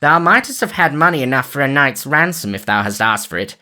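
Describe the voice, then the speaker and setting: A man's voice: on the low side, expressive, normal speed, pushed and bright. A man voicing a scheming, gloating sheriff who raises his voice to bark orders.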